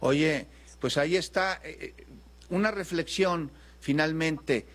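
A man's voice: on the high side, slow, fairly bright tone. A man anchoring the news, pressing a guest with critical questions and commentary.

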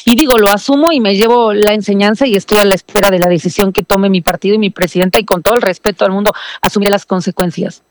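A woman's voice: mid-range voice, fast talker, hardly any pauses, noisy background. Defensive woman in her forties. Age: 40s. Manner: explanatory, apologetic, insistent. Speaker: lawmaker interviewed as guest. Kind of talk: defensive interview answers. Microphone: remote call link.

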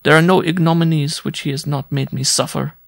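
Storybook peasant woman, played by a male narrator: gaunt, broken-down, pleading, bitter, pitched high. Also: fairly even intonation, fairly quick, creaky, no room sound, studio quality. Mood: weary lament. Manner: plaintive, sobbing lament.

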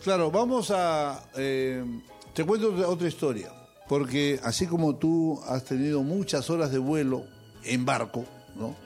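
An older man tells stories from his years among musicians. His voice sits a little higher than most men's, and he acts out the people he quotes. Faint music plays behind him. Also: unhurried, darkish tone. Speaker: host. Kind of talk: casual conversation among musicians.

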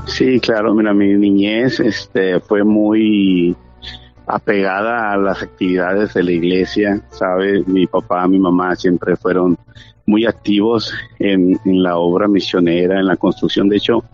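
A Mexican man in his thirties with a lowish voice speaks earnestly about his faith and often restarts his phrases. The sound is dull and lo-fi, and faint music plays under him. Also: flat delivery, unhurried. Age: 30s. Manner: earnest, many fillers and restarts. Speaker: interview guest. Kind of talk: church testimony interview.

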